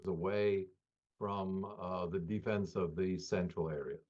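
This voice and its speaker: Male analyst interviewee, unhurried and halting, fairly deep voice, slightly muffled recording. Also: steady tone, a little mellow. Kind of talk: spontaneous political analysis.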